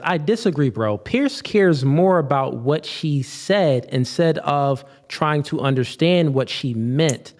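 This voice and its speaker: A man hosting a podcast, reading viewer chats and chatting in a relaxed way. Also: mid-range voice. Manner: relaxed, laughs between points. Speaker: podcast host. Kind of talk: casual chat.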